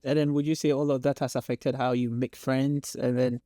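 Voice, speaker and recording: A man's voice: mid-range voice, little pitch movement, fairly quick, mellow, no room sound, studio quality. A man hosting a podcast, asking questions and searching for the right words. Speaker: podcast host. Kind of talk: interviewing. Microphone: studio podcast mic.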